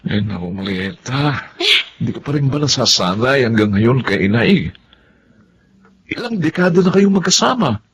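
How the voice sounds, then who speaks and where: A man's voice: medium pitch, very animated, moderate pace. A man playing an exasperated middle-aged husband and dutiful son in a Filipino radio drama. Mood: placating. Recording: dull lo-fi sound with background noise.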